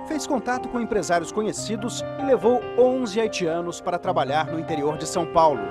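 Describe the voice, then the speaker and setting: Male TV reporter, high voice, measured narration, music bed underneath. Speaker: TV news reporter. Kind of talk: broadcast news narration and field interviews.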